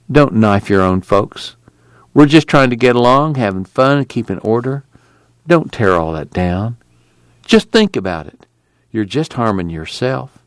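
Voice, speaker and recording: A man's voice: medium pitch, no echo, natural voice. A man voicing a patient middle-aged camp leader who speaks in an earnest drawl.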